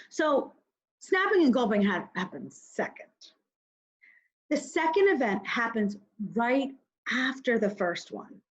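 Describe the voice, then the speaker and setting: Slow, high-pitched, lilting woman teaching young children. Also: muffled recording. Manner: simple, instructive, addresses children directly with questions and pauses to think aloud. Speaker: kindergarten teacher. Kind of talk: recorded reading mini-lesson for young children.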